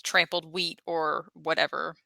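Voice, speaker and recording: A woman's voice: low-pitched, flat delivery, measured pace, gravelly, pushed and bright, no echo. A woman talking casually as a player in a tabletop roleplaying game.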